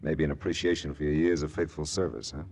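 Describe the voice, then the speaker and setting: An actor playing a shrewd, world-weary private detective in mid-century American radio style, with a wry, hard-boiled, knowing manner and an American accent. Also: male voice, rumbling low, fast, creaky, a little mellow, slightly rough, exaggerated voice. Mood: frank, shrewd. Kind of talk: 1940s-50s American radio detective acting. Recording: vintage radio drama recording with music.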